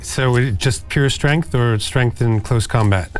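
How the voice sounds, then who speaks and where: A man's voice: mid-range voice, measured pace. A man chatting casually as a player during a tabletop roleplaying game. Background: music.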